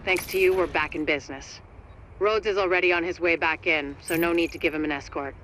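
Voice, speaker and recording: A man's voice: on the high side, fairly even intonation, brisk, bright, forceful tone, rough recording. A man playing a businesslike operator briefing an agent in a video game. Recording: clear background noise.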